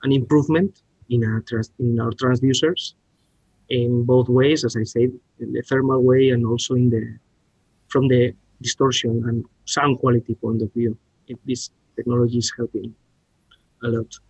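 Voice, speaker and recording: A man with a Spanish accent giving a halting technical explanation as a guest expert, often restarting his words. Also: medium pitch, level tone, a little mellow, husky, no echo, poor audio. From Spain. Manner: halting, searching for words with repeated starts. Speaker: R&D director, podcast guest. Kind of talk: technical presentation and discussion.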